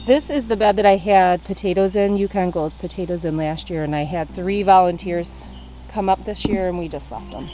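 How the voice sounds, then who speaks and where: A woman's voice: on the low side, normal speed, dark tone, faint music behind. A young woman casually walking through her backyard vegetable garden outdoors, chatting as she points out plants to a handheld camera. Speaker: gardening vlogger.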